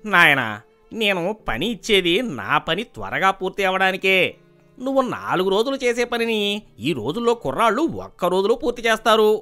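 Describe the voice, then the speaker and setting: A man voicing a haughty rich village merchant, loud, scornful and dismissive, in a moral story. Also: high voice, sing-song, normal speed, soft background music, heavily acted.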